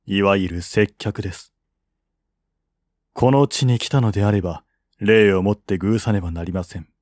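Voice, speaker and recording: A man voicing a shrewd, composed strategist of ancient China in a historical audiobook, brisk and formal. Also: lowish voice, a little mellow, no echo, clean studio sound, voice pushed down, close to an everyday voice. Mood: calm, shrewd.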